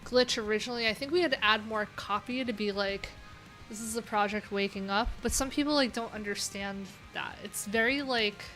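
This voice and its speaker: Woman, young adult, livestream host, casual, rambling, filler-heavy, middle pitch, faint music behind. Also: brisk, fairly bright tone, poor audio. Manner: chatty, rambling, many "like"s and "um"s.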